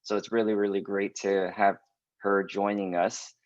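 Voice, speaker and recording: A pastor hosting a church webinar over a video call, talking casually with lots of fillers and hesitations. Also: male voice, lowish voice, flat delivery, moderate pace, no echo. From United States. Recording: dry, dull lo-fi video call audio.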